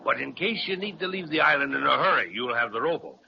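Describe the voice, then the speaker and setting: A genial pirate captain with a gravelly, swooping voice who chuckles and barks orders to his crew. The recording is dull and old, with a crowd murmuring behind. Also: on the high side, expressive, quick pace, a little hiss or hum. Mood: jovial. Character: jolly genial candy-loving pirate captain. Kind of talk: 1930s children's radio serial acting. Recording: muffled 1930s radio with crowd murmur.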